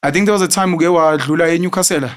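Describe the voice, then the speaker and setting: A man's voice: a little high, quick pace. A man hosting an interview, talking in an effusive, chatty and admiring way. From South Africa. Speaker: host. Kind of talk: interview conversation.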